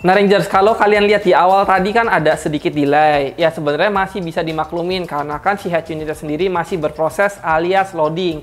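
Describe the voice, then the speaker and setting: A woman's voice: fairly low pitch, steady tone, fairly quick, few pauses, faint music behind. A woman giving a chatty, slangy product review, talking straight to her viewers. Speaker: car audio reviewer.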